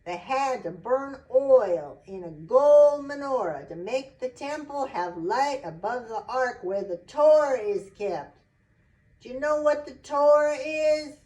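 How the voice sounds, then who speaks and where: A woman voicing a gentle puppet storyteller who explains simply and asks a child questions, recorded at a distance from the mic. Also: high-pitched, lively intonation, slow, muffled recording. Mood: gentle, teacherly.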